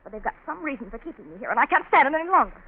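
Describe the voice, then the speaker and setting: A woman's voice: high voice, very animated, rapid-fire. An actress playing an intense, unbalanced young woman who gives orders in a 1940s radio drama. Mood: desperate, pleading. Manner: intense, insistent, commanding. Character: desperate, unbalanced young woman, domineering and armed. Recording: scratchy, narrow-band 1940s radio recording.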